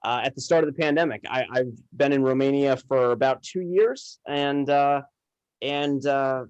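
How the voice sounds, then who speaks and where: A man chatting casually as a podcast guest, often repeating words in a halting way. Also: medium pitch, clean tone, no room sound, muffled recording. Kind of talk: casual chat.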